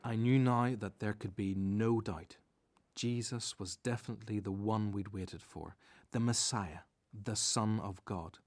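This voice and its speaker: Man playing low-voiced reflective disciple narrator. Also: steady tone, a little slow, a little mellow. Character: male disciple recounting events, reflective and devout. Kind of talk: scripted first-person narration in radio Bible drama.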